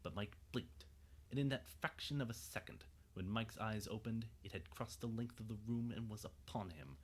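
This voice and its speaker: A man narrating a scripted dramatic story in a measured, suspenseful way. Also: fairly low pitch, breathy edge.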